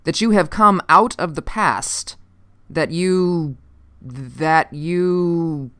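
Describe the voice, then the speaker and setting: A narrator voicing a brisk, skeptical male officer with a formal, clipped manner in a science fiction audiobook. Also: medium pitch, sing-song, fairly bright tone. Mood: impatient, incredulous.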